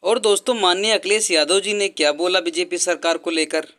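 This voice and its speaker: A male host reads satirical scripted narration in a high-pitched voice. He presents it directly to the listener, like the narrator of a mock news roundup. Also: steady tone, a little fast, pushed and bright.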